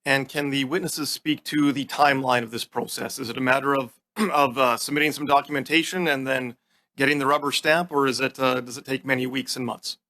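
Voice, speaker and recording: Bright-voiced man questioning officials formally. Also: mid-range voice, steady tone, fairly quick. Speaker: committee member questioning officials. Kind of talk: formal questioning, partly read from notes.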